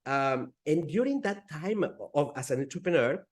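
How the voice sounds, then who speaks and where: Man, Spanish accent, forties, halting, frequent restarts, slightly high voice. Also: expressive. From Peru. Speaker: guest entrepreneur. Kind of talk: conversational interview.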